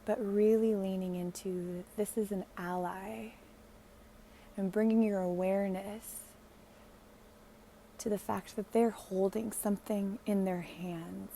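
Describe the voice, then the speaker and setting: Slow, mellow woman guiding meditation. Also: mid-range voice, fairly even intonation, slight background noise, low-quality recording. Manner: gentle, hushed delivery with long pauses. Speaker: guided meditation leader. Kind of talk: guided meditation, slow and soothing narration.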